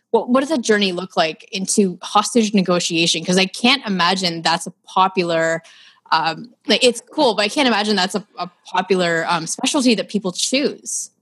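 A woman's voice: mid-range voice, a bit bright. A Canadian woman hosting a podcast, chatty and enthusiastic, with many fillers. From Ottawa, Canada. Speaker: podcast host. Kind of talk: casual podcast interview.

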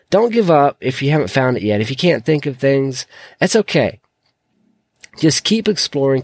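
A man hosting a solo podcast, his voice croaky from a sore throat, talking in an earnest, encouraging way. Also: medium pitch, dry recording, studio quality. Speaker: podcast host.

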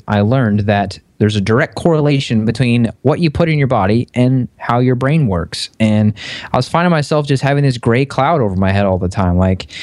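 Relaxed young man telling his story. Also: on the low side, dry recording, studio quality. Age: 20s. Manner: relaxed, conversational, frequent fillers. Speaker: podcast guest. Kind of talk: casual interview chat.

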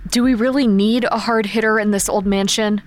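A woman's voice: mid-range voice, fairly even intonation, fairly quick, close to an everyday voice. Woman playing candid considerate magic gal, normal mid voice, podcast drama, talks it through. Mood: earnest. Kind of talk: fiction podcast acting.

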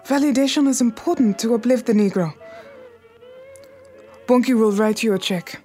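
A woman's voice: on the high side, moderate pace, dark tone, close to an everyday voice. An actress plays a regal, unbowed queen of the Harlem numbers racket. She speaks formally and gives orders with cool command, slipping into French courtesies. It is audio drama with music behind her. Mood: cool, haughty. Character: imperious, poised Harlem numbers queen, wounded but unbowed.

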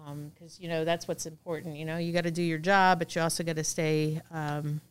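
A woman's voice: low-pitched, flat delivery, a little fast, clean tone. A middle-aged woman hosting a podcast in a chatty, casual way, laughing easily. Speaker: podcast host.

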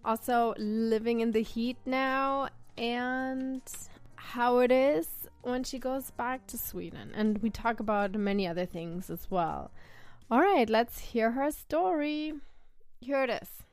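A woman's voice: a little high, takes it slowly, a little mellow, clear, resonant tone. A woman hosting a podcast, talking in a curious, casual way with many fillers while interviewing a guest on a call. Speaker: podcast host.

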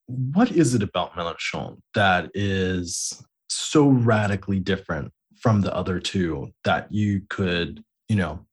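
Host role: low-voiced man, husky, wry, animated delivery. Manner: wry, laughs often, animated questioning. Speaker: podcast host. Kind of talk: narrated segment and casual interview.

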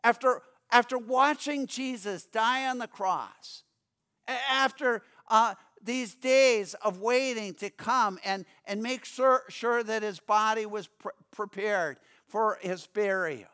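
A man preaching a sermon as pastor, earnest, often stumbling and repeating words, dropping lower and quieter to read scripture. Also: slow, clean tone.

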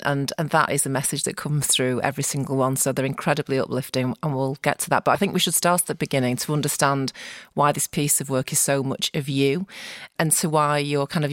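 A woman's voice: very deep voice, dry recording, clean studio sound. Chatty Manchester woman hosting. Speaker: podcast host. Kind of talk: podcast interview.

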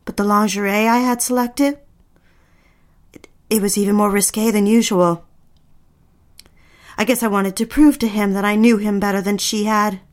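A woman's voice: medium pitch, fairly even intonation, normal speed, long pauses, lightly acted. Woman acting an anxious, hesitant young wife. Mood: brooding, confessional. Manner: hesitant, pleading, repeats herself. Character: anxious, submissive young wife recalling a troubled marriage. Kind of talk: dramatic audio fiction, dialogue and confessional narration. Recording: music behind the voice.

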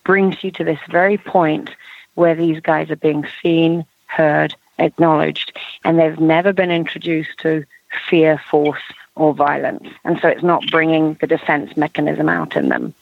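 Female horse trainer, gravelly edge, emphatic step-by-step interview explainer, thin phone audio. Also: low-pitched, a little slow, dry recording. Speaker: horse trainer and clinic instructor. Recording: band-limited phone line.

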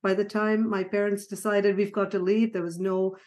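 A middle-aged woman born in Sri Lanka and raised in Ghana, talking through her life story as a podcast guest, with soft laughs and frequent restarts. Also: medium pitch, flat delivery, moderate pace. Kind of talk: conversational storytelling interview.

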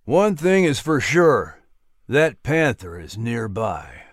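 A man's voice: mid-range voice, big pitch swings, takes it slowly, no room sound, studio quality. A man voicing a patient uncle who explains the woods like a teacher.

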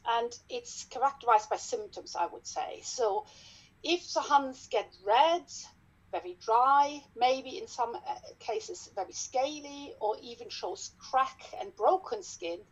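A woman's voice: a little high, expressive, poor audio. German-accented woman, guest dermatologist. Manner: careful, explaining step by step with pauses. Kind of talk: explanatory podcast interview.

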